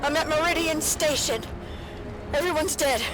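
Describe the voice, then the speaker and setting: A woman's voice: high-pitched, moderate pace, lightly acted, rough recording. A woman playing a frightened lone survivor in a video game, distressed and pleading. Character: frightened, lone female survivor calling for help. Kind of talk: dramatic video game acting. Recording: music and effects behind.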